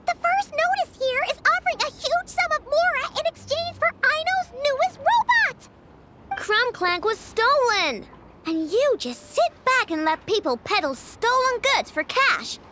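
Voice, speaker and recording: A woman's voice: very high voice, sing-song, measured pace, exaggerated voice. A woman voicing a small, brash, stubborn girl inventor in a video game, blunt and indignant. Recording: clear background noise, game ambience.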